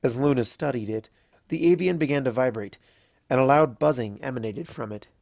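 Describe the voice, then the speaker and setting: A man's voice: mid-range voice, a little fast, creaky, a little mellow, dry recording, studio quality. A man reading scripted fan fiction as a storyteller.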